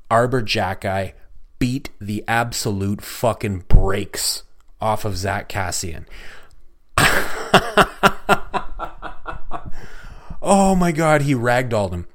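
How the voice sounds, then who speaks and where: A man hosts his own hockey podcast and talks through the game in a loose, chatty run, swearing freely. His voice sits a little low, and it turns rough and hoarse as he says he is losing it. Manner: excitable, profane, chatty. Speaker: podcast host. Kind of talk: solo hockey recap podcast.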